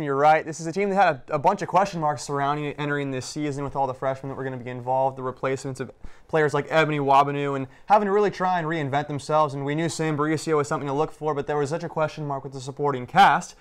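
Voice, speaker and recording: A man's voice: on the high side, steady tone, fast talker, clean tone. A young man giving a studio sports analysis in reply to the anchor. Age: young adult. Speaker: sports reporter.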